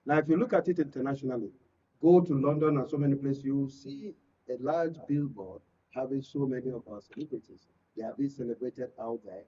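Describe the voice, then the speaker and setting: A man with a Nigerian accent speaking as a guest from the creative industry in a political panel discussion, deliberate and emphatic, often repeating phrases. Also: mid-range voice, mellow, slightly rough. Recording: muffled, distant-mic, lo-fi studio pickup.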